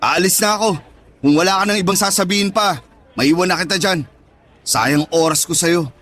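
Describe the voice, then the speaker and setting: A man's voice: sits high, normal speed, fairly bright tone. A man acting as an angry, betrayed young man in a Tagalog radio drama, scornful and confrontational, with a Filipino accent. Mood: cold, bitter. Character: angry, betrayed young straight man rejecting his friend.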